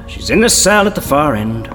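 Irritable secret agent, animated mid-range voice, dry, exasperated, reverberant space, music behind. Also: male voice, fairly high pitch. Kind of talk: audio drama acting. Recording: long echoing reverb, music underneath.

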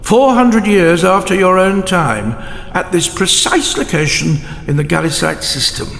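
A man playing an eccentric, cautious time traveller in a science fiction audio drama, with a gravelly voice and a wordy, theatrical, pompous manner. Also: sits high, measured pace, a bit bright, exaggerated voice. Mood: curious, musing. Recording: echoey cavernous hall effect, some noise.

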